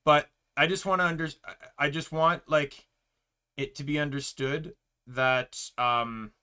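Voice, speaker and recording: A young man streaming, chatting casually with a loud voice, rambling with lots of fillers and restarts. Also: a little high, steady tone, a little slow, clear, resonant tone.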